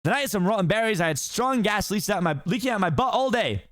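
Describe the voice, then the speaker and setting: A man voicing an excitable, fast-talking male donkey who chatters nonstop. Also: high voice, no room sound, voice pushed up. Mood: chatty.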